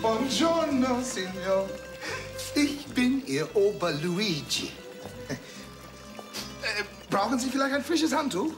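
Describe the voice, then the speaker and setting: Cheeky italian waiter, sing-song up and down, rambles on, music behind. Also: male voice, very high-pitched, slow pace, long pauses, heavily acted. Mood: cheeky, chatty. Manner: lively sing-song delivery, Italian phrases. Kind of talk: 1990 TV sketch comedy. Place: restaurant studio set. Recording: music and studio audience laughter.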